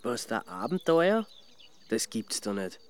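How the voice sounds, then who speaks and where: A man's voice: medium pitch, very animated, a little slow, clear, resonant tone. An actor playing an inquisitive, sceptical man with an Austrian accent in a radio crime drama, casual and questioning. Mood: incredulous. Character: inquisitive, sceptical man asking about a murder case. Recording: music behind the voice.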